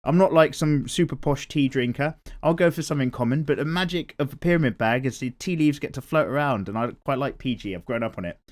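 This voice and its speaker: A man's voice: medium pitch, quick pace, hardly any pauses. A man with an English accent and a nasal voice, casually rambling and reading viewer comments aloud while he sketches. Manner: chatty and rambling, swears casually. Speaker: art channel host.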